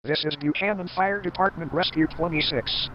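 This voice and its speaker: A man voicing a hot-tempered fire chief who swears and yells over radio calls in an amateur comedic radio drama. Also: a little high, normal speed, dull audio, close to an everyday voice. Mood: urgent. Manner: angry swearing radio calls, voice cracking upward. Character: hot-tempered male fire chief, foul-mouthed, shouty. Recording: loud siren and vehicle noise.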